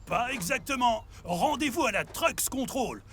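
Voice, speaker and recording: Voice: mid-range voice, lively intonation, a little slow, a bit bright, a little hiss or hum. An actor voicing a jolly, encouraging old grandfather truck in a French children's cartoon, hearty and announcing. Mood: jovial. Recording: music behind the voice.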